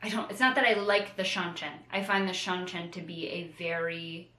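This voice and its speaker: Bright-voiced woman in a roomy echo. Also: fairly low pitch, moderate pace. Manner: animated retelling with playful slangy asides. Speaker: co-host of a book discussion show. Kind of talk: casual book chat.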